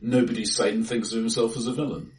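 A man's voice: mid-range voice, level tone, fairly quick. A man in casual film discussion as a co-host, tentative and often repeating the starts of his phrases. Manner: tentative, stammering repetitions of words. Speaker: podcast co-host reviewing films. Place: indoors, face to face in a reverberant room. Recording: distant mic in echoey bright room.

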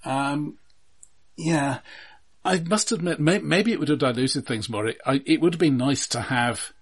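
Husky man in measured film discussion. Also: a little high. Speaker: film discussion podcast host. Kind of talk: casual analytical film discussion.

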